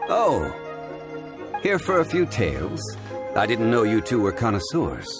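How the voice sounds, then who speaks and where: Low-voiced, courteous gentleman scholar. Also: wildly swooping pitch, exaggerated voice. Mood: mildly surprised. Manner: measured, formal, eloquent. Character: calm, erudite, courteous gentleman scholar of rocks. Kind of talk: game dialogue acting. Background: game music.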